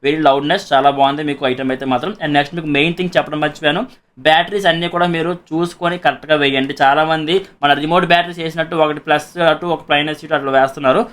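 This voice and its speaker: A man's voice: fairly high pitch, fairly even intonation, very fast, fairly bright tone. A man with a Telugu accent gives a casual product demonstration as a reviewer and seller, explaining repetitively and mixing in English words.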